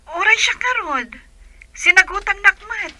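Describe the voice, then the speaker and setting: A playful village older sister with an Ilocano accent. Her high voice is strikingly sharp and forward, in the manner of a radio comedy drama. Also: lively intonation, unhurried, full character voice.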